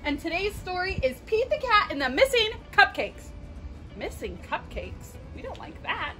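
A young woman reading a picture book aloud to children, with an animated sing-song delivery and exaggerated expression. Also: very high-pitched, moderate pace, soft background music. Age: young adult. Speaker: storytime reader at a children's park. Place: outdoors in a leafy garden.

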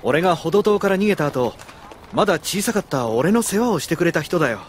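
A man's voice: on the high side, sing-song, fast, a little hiss or hum. A man playing a friendly, easygoing young swordsman in an anime audio drama, speaking in a casual way. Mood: glad. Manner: casual, explanatory.

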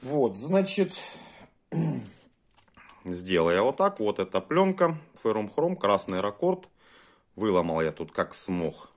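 Man in lively, halting casual commentary. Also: lowish voice. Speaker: host of a cassette deck test vlog. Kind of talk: casual hands-on demonstration commentary.

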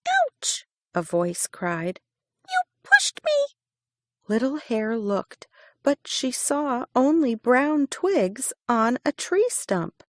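A woman reading a children's picture book aloud, slow and expressive. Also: on the high side, no room sound, clean studio sound. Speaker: reader.

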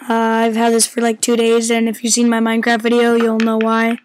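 A hoarse-voiced woman who is losing her voice from illness rambles in casual let's-play commentary at home, full of fillers and stumbles. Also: fairly high pitch, completely flat delivery, fairly quick, slight background noise. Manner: rambling, filler-heavy, stumbles over words. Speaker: gameplay commentator. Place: a room at home.

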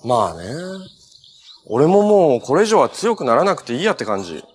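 A man's voice: medium pitch, very animated, moderate pace, a little hiss or hum, close to an everyday voice. An actor playing a cheerful, earnest young male superhero in a live-action TV drama, with a casual, friendly way of talking. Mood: thoughtful, casual. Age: young adult.

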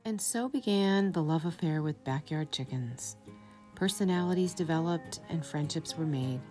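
A middle-aged woman giving a gentle, reflective scripted voice-over in a storytelling style. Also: sits low, normal speed, soft background music. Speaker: vlog narrator.

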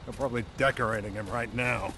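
A man's voice: mid-range voice, steady tone, fast, bright, forceful tone, a little hiss or hum, natural voice. A man playing an exasperated government agent in a video game, dry and scornful.

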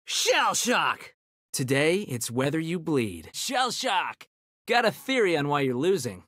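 A man's voice: fairly high pitch, very animated, unhurried, fairly bright tone, dry recording, studio quality, full character voice. A man voicing a brainy, cocky young ninja turtle in a video game, with an American accent and nerdy, quippy confidence. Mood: battle cry. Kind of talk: video game character battle banter.

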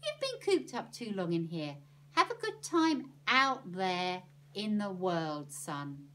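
A woman's voice: medium pitch, very animated, slow pace, a bit bright. A woman voicing a bossy, no-nonsense aunt with a firm, commanding manner. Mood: brisk. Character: bossy, no-nonsense aunt who lays down rules.

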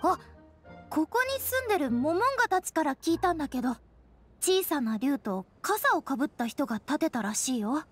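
An eager young ranger girl from a game speaks in a high, animated voice. She is earnest and a little hesitant, with plain tomboyish wording. Faint music sits behind her. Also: normal speed. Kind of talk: Japanese game character acting.

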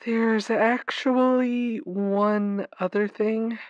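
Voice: medium pitch, fairly even intonation, extremely slow, dry recording, highs cut off. An actor playing an anxious vampire with a crush, stammering hesitantly as a caller in a radio audio drama. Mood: flustered, confessing. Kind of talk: audio drama call-in acting.

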